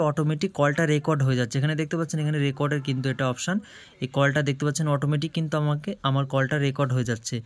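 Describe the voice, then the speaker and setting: A man's voice: on the high side, no echo. A man hosting a tech tips video, explaining phone steps one by one in a repetitive tutorial style. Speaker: host of a tech tips channel.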